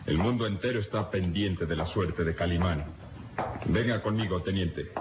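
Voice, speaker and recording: Casting: male actor as commanding police captain, very low voice, radio serial, muffled recording with loud music. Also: moderate pace, noisy background, close to an everyday voice. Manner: authoritative, clipped orders. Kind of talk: Latin American radio drama acting.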